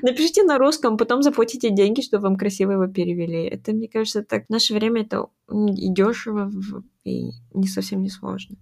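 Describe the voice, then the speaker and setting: A woman co-hosts a casual podcast and tells anecdotes with animated energy. She slips into quick acted-out voices of the people in her stories, and her pitch sits in a middling range. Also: gravelly. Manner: animated storytelling, acting out what others said. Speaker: podcast co-host.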